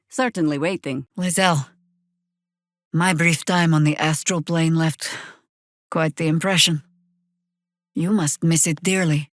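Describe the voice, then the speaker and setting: A woman playing a seasoned older druid warrior in a video game, wry and commanding, with dry, formal, archaic phrasing and a measured, weathered delivery. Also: fairly low pitch, dry recording. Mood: dry, reflective. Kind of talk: video game character acting.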